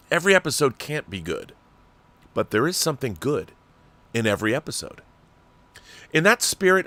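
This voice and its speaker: A man's voice: on the low side, pushed and bright, no echo. A middle-aged American man hosting a casual fan livestream, reading viewer messages aloud and chatting with opinionated asides.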